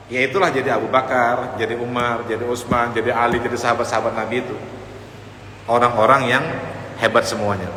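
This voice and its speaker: A man's voice: medium pitch, bright, forceful tone. A man preaching an informal Islamic lecture in a conversational way, addressing his listeners directly and mixing in Arabic phrases. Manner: sprinkles in Arabic phrases and quotations. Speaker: Islamic preacher teaching a congregation. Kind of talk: informal religious lecture on the Prophet's biography. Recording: echoey hall with faint background noise.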